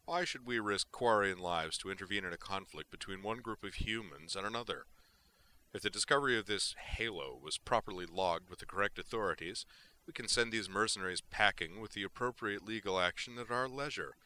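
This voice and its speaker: A man's voice: fairly low pitch, brisk, pushed and bright. A man voicing a pompous, callous male alien admiral in an audiobook, stern and condescending in manner. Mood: dismissive.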